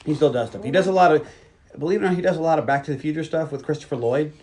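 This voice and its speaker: A man's voice: on the high side, very fast, a little mellow. Chatty man with a Virginia accent. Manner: chatty, jokey, drops into voices for characters. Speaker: podcast co-host. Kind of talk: casual chat retelling a movie plot.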